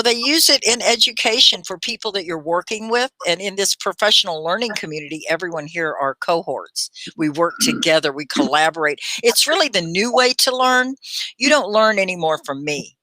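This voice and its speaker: Middle-aged female ESL teacher and host from Texas; animated, bright and bright-toned delivery. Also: on the low side, no echo, low-quality recording. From Texas, USA. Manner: animated, explanatory, laughs often. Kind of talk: live online English lesson.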